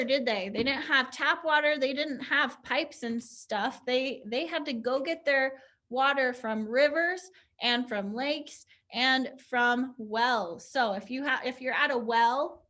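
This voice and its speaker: A woman's voice: high-pitched, pushed and bright. A young woman teacher leading a pantomime activity online, upbeat and encouraging as she explains each step.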